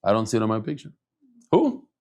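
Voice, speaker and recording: A middle-aged man teaching a casual daily Talmud class in a lively, chatty way, mixing in Hebrew and Yiddish terms. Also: lowish voice, fast talker.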